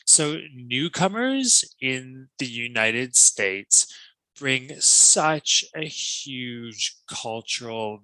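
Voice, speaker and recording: A man's voice: mid-range voice, vocal fry, bright, forceful tone, no echo, rough recording. A man, a guest on an interview podcast, speaking thoughtfully with frequent ums and restarts. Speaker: guest, language teacher.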